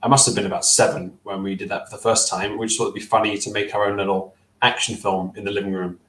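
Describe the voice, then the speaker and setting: A man's voice: on the low side, level tone, fast talker, a bit bright, echoey room, rough recording. A young man on a video call with a headset, answering interview questions casually, thoughtful and hesitant with many fillers and restarts. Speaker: stop-motion filmmaker guest. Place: small room at home.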